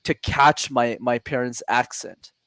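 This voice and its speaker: A man's voice: medium pitch, steady tone, unhurried, fairly bright tone, no echo. Earnest male guest, dry sound. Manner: earnest, storytelling. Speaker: guest, public speaking coach. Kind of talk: casual interview.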